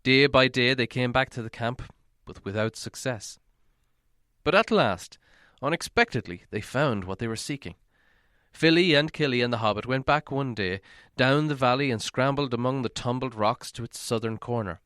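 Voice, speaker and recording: A man's voice: mid-range voice, normal speed, a bit bright, no room sound, clean studio sound. A man narrating a fantasy novel aloud as an audiobook reader. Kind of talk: storybook narration, read aloud.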